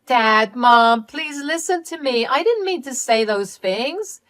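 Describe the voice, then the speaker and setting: A woman voicing a self-pitying young adult sister who pleads and defends herself in a high, strained voice. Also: very animated, takes it slowly. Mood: pleading.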